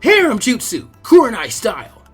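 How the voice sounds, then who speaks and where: A man voicing a cocky, loud teenage boy ninja who is brash and smug, in a fan fiction reading. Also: very high voice, huge pitch swings, moderate pace, slightly rough, soft background music, pitched above the performer's own voice, exaggerated voice. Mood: brash shouting.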